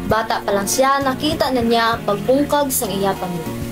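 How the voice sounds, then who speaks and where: A woman narrating a student radio drama in a measured storytelling voice. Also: a little high. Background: music.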